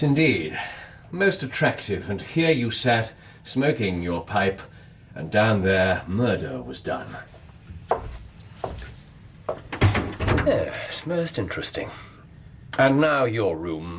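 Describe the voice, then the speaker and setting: An actor playing a shrewd, courteous gentleman detective with an English accent in a radio drama, precise and theatrical. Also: fairly low pitch, sing-song, measured pace, a little hiss or hum. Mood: composed, assured.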